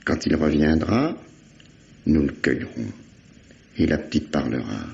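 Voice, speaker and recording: A man playing a shrewd, stubborn police detective in a vintage radio mystery, measured and insistent. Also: extremely low pitch, lively intonation, gravelly, a little mellow, exaggerated voice. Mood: confident, brooding. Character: stubborn, shrewd male police detective, sure of his hunches. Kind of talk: 1950s French radio mystery acting. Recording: dull, band-limited old radio drama recording.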